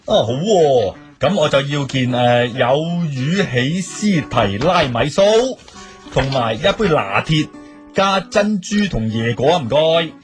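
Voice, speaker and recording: A man's voice: on the high side, lively intonation, slow. A man with a Hong Kong Cantonese accent playing a presumptuous, know-it-all café customer in an audio drama, breezy and nosy. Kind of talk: acted audio drama dialogue. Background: faint guitar music.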